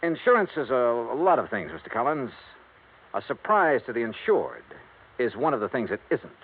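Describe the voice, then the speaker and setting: A man's voice: mid-range voice, sing-song, moderate pace, breathy edge. A man with an American accent playing a shrewd, skeptical insurance investigator in old-time radio noir, with clipped, probing delivery. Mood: pressing, skeptical. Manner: clipped, probing, hard-boiled questioning. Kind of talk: old-time radio noir acting with first-person narration. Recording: muffled, noisy vintage radio recording.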